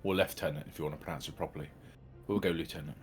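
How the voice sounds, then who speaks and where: A man's voice: rumbling low, fairly even intonation, racing. A man playing a tabletop roleplaying game, speaking in character in a laconic, understated, hesitant way.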